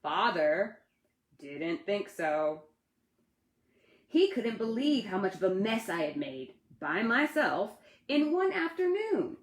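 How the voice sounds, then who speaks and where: A woman reading a children's storybook aloud in an animated, dramatic storytelling manner. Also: mid-range voice, slow pace. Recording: roomy, echoey sound.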